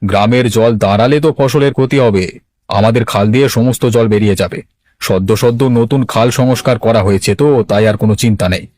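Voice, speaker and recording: A man's voice: fairly low pitch, fairly quick, a little mellow, no room sound, studio quality, close to an everyday voice. A man playing a gullible, stubborn married village headman hungry for wealth in a cartoon, with an earnest, dramatic delivery. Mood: reassuring. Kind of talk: Bengali cartoon voice acting.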